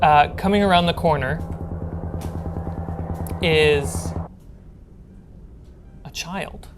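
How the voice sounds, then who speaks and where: A woman narrating as game master in a tabletop role-playing game, halting mid-sentence, with music behind her. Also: sits low. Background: background music.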